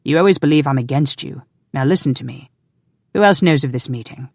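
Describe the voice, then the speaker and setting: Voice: mid-range voice, brisk, vocal fry, a little mellow, no echo, clean studio sound. A narrator voicing a protective, exasperated husband who is commanding and sardonic but loving. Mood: angry. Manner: stern, sardonic, insistent.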